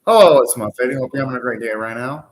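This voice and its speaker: A man's voice: mid-range voice, lively intonation, fast. A young man hosting a live anime reaction, talking casually, ranting and swearing now and then.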